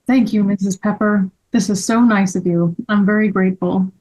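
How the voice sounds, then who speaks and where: Cautious, worrying male character read by a woman, medium pitch, for a children's mystery table read. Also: fairly even intonation, normal speed, a little mellow, natural voice, rough recording. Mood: grateful. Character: anxious, cautious, easily discouraged man.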